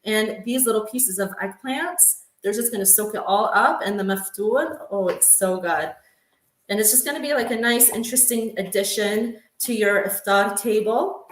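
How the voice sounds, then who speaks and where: A woman's voice: mid-range voice, moderate pace. Casual woman cook demoing a recipe, roomy kitchen sound. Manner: chatty, with frequent ums and laughs. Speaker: home cook demonstrating a recipe. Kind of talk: casual cooking demo. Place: home kitchen.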